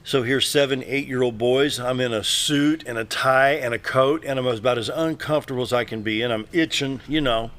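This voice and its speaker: A man's voice: mid-range voice, fairly quick, few pauses, fairly bright tone. Sixties male host, folksy, emphatic storyteller, mid-range voice. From Southern Illinois. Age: 60s. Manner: folksy, emphatic, repeats himself. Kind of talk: casual storytelling chat.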